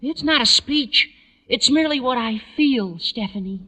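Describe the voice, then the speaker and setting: An actor playing an eager, awkward, lovestruck high school boy with a squeaky adolescent voice in a 1940s radio sitcom. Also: expressive, normal speed, a crowd in the background. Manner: gushing, hesitant, earnest. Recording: old 1940s radio broadcast.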